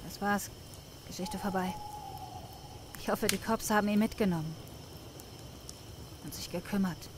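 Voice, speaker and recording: A woman's voice: medium pitch, unhurried, long pauses, noisy background, lightly acted. A woman playing a young drifter in a German game fan dub, slangy and rueful in a plain-spoken way. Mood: regretful. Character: young female drifter, rueful, mourning her dog. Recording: clear night insect ambience behind voice. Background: crickets and night ambience.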